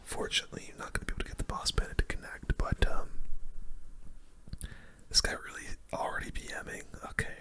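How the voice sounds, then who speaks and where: A gamer whispers an ASMR commentary in a very bright, sibilant and breathy voice. The delivery is hushed and unvoiced throughout, spoken close to the mic with casual gamer slang. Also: fast. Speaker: gaming streamer commentating own matches.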